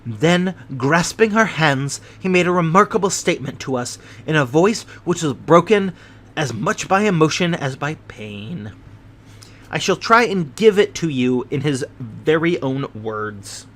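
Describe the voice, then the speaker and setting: Man, audiobook narration, measured deliberate reading, slightly high voice. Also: lively intonation, fairly bright tone. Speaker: audiobook reader. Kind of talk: scripted narration.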